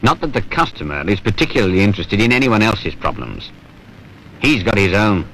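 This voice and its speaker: A wry British narrator with a fairly low voice. He grumbles conversationally like a tired working man who has seen it all. The old film soundtrack carries clear background noise. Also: very animated, brisk, a bit bright. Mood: wry, exasperated. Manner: colloquial, sardonic, grumbling. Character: wry, world-weary working man narrating factory troubles. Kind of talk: conversational scripted narration in a 1970s training film. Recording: noisy 1970s film soundtrack.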